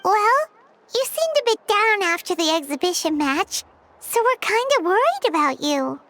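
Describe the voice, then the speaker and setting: A woman voicing a tiny, chatty, cheeky childlike companion in a video game, exaggerated and squeaky. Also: sing-song, normal speed, a bit bright, no room sound, exaggerated voice. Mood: concerned. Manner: exaggerated, childlike, refers to herself by name.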